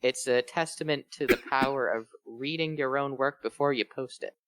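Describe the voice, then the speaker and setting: A man chatting casually in a fan fiction reading group, making deadpan jokes. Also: mid-range voice, normal speed, dry recording, rough recording. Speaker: fan fiction podcast reader. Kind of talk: casual joking discussion.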